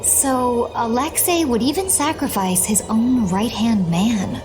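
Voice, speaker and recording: A woman's voice: on the high side, slow. A woman voicing a cool, composed young warrior in a video game, with music behind her.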